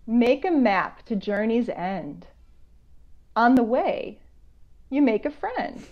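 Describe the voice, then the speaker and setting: A woman author reading a picture book aloud to children, going between slow measured reading and conversational explanatory asides. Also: on the high side, very animated. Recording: dull, lo-fi online call audio.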